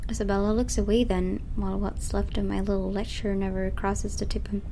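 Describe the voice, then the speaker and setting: A woman reading a visual novel aloud as dramatic first-person narration, often hushed at phrase ends and halting over words. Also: mid-range voice, flat delivery. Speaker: let's player reading a game aloud. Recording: noisy home recording with clicks.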